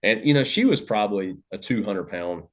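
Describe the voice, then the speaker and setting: A man, a podcast host telling a hunting story in a loud, animated, cursing way. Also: lowish voice, fairly quick. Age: 30s. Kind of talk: casual storytelling chat.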